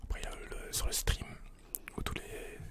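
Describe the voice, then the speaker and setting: A man, answering questions in an unhurried, breathy ASMR whisper close to the microphone. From Belgium. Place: small attic room indoors. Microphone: desk condenser microphone, close.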